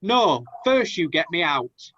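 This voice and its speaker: A man's voice: fairly high pitch, sing-song, takes it slowly, pushed and bright, muffled recording, exaggerated voice. A man playing a plucky, naive young Aladdin in a rhyming pantomime, with an earnest theatrical delivery. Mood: defiant. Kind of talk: pantomime acting.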